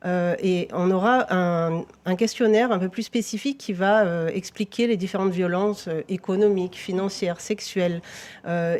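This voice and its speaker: A woman police victim-support officer explains procedures as a TV talk show guest, restarting her sentences often. Her voice is mellow and dark in tone. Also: mid-range voice. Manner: explanatory, with frequent fillers and restarts.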